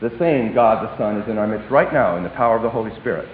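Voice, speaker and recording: A man preaching a sermon, swinging between emphatic declarations and hushed, dropped phrases. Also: lowish voice, expressive, fast, slight background noise. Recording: echoey hall, tinny phone-like sound.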